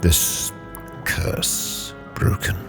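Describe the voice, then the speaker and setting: A man giving solemn, brooding, theatrical first-person narration for a roleplay story. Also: very slow. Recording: prominent music behind the voice.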